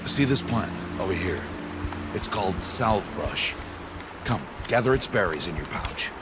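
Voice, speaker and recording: An actor playing a stern, protective middle-aged father figure in a video game, teaching in a measured, commanding manner. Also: sits low, lively intonation. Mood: calm, instructive. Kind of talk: dramatic video game acting. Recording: loud music behind the voice.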